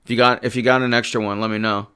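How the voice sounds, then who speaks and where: A man hosts a live sports talk stream in a hoarse voice. He sits at a middling male pitch and banters casually with his chat. He turns animated and emphatic when he argues about players' stats. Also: racing. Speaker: livestream sports show host.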